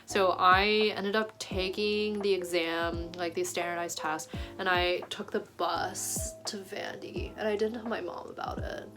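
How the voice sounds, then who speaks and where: A woman's voice: lowish voice, steady tone, a little slow, few pauses. Chatty young woman vlogging over music. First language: Chinese. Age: 20s. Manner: chatty, heavy use of "like", giggly asides. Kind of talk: casual chat.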